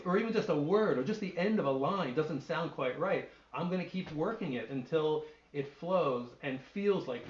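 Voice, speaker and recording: A middle-aged man with a fairly high voice talks on a video call and answers questions thoughtfully, restarting as he looks for the right words. The audio is dull and lo-fi. It echoes in the room. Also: gravelly. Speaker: literary translator and poet. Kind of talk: answering questions at an online reading.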